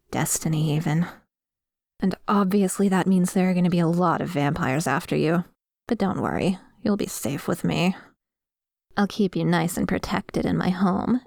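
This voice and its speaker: A woman playing a dominant, obsessive vampire in a scripted ASMR roleplay, savouring her words with breathy sighs and slips into whispers, commanding and condescending. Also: lowish voice, a little mellow, dry recording, studio quality. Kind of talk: scripted ASMR roleplay audio, addressing the listener.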